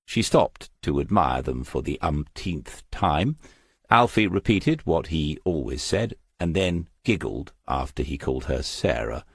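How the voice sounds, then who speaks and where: A man reading a crime novel as an audiobook narrator, with measured, scripted storytelling delivery. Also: rumbling low, animated, creaky, husky, dry recording, studio quality.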